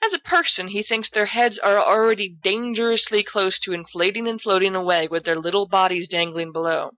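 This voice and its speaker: A woman's voice: medium pitch, fairly quick, fairly bright tone, no room sound. A woman reading scripted fiction as the narrator of an audiobook. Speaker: volunteer audiobook reader.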